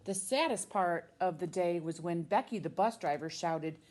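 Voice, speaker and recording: A woman with an American accent, a teacher reading a storybook aloud to children with expressive, drawn-out emphasis on key words. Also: fairly low pitch. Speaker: middle school science teacher reading a children's book.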